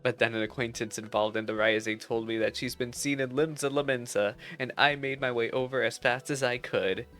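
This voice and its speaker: A man's voice: mid-range voice, fairly even intonation, a little fast, fairly bright tone, lightly acted. A man reading aloud the game dialogue of a proud, earnest female cat-girl musician with a defensive streak. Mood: troubled. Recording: music behind the voice.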